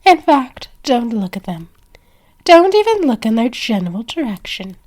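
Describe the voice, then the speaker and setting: A woman's voice: on the high side, big pitch swings, moderate pace, darkish tone, voice pushed up. A woman voicing a possessive, haughty female vampire in an ASMR roleplay, scornful and bossy in a dramatic way.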